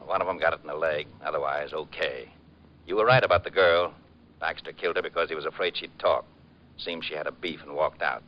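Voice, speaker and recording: A deep-voiced, businesslike radio cop. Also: male voice, a little fast. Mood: matter-of-fact. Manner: clipped, matter-of-fact. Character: gruff, businesslike male police lieutenant. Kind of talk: old-time radio crime drama acting. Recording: muffled vintage radio broadcast.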